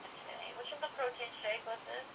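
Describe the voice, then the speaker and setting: A woman's voice: a little high, brisk, creaky, a bit bright, breathy edge. A woman working as a customer service agent on a phone call, asking questions in a polite, procedural way. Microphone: telephone. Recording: distant, noisy telephone line.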